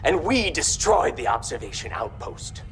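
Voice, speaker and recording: Treacherous alien warrior officer for a space game; menacing, gloating, very lively intonation, faint music behind. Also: male voice, mid-range voice, normal speed, low-quality recording. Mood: smug, menacing. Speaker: villain officer. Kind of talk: dramatic sci-fi game acting.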